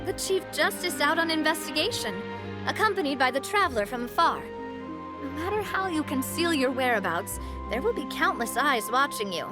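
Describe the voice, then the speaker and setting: A woman's voice: high voice, lively intonation, moderate pace. A woman voicing a breezy, confident young female leader in a video game, self-assured and lightly teasing. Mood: informative, confident. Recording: music behind the voice.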